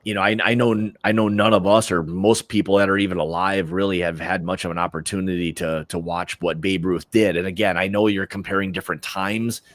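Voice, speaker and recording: A man's voice: low-pitched, steady tone, brisk, few pauses, fairly bright tone, dry recording. An American man hosting a casual sports prediction livestream, talking informally in slangy, opinionated fashion.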